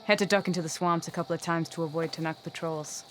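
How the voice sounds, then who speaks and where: A woman's voice: sits low, fairly even intonation, fast talker, a bit bright. An actress playing a proud young female hunter in a video game, speaking earnestly with spirited bursts. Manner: earnest and wistful, with spirited outbursts. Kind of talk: video game cutscene voice acting. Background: game music score.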